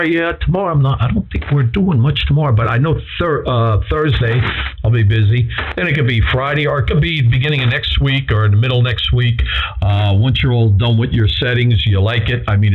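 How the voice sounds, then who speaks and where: A man's voice: medium pitch, narrow, tinny audio. A man chatting casually over amateur radio, rambling along with mildly salty exclamations, through a radio transmission. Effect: ham radio transmission. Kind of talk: casual on-air radio chat. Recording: band-limited ham radio transmission.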